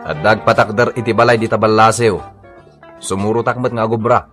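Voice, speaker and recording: A man's voice: on the low side, flat delivery, brisk, over music, lightly acted. A man playing an irritable, defensive Ilocano husband in a radio comedy drama, blunt and grumbling. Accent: Ilocano Filipino.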